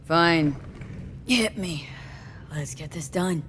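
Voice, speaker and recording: A woman's voice: fairly low pitch, takes it slowly, background noise. A woman playing a defiant, weary mercenary in a video game, speaking in terse, blunt bursts. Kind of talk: scripted video game acting.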